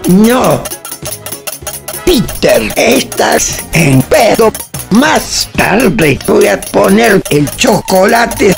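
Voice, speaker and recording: A woman's voice: mid-range voice, very animated, extremely slow, vocal fry, faint music behind, heavily acted. An actress playing a haughty, exasperated older madame who scolds as she teaches, in a radio comedy. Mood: bossy, impatient.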